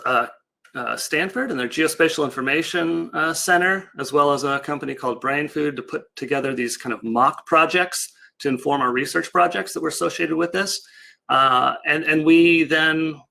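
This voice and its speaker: A man's voice: on the high side, gravelly, sharp tone. A man giving an informal, loosely improvised online slide presentation in a casual, explanatory way. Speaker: project presenter.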